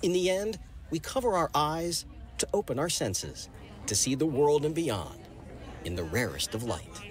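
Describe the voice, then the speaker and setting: A man in his fifties, a TV news reporter, giving a live report and narrating in an earnest, lively way. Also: on the high side, measured pace. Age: 50s. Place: outdoors on a large open city lawn.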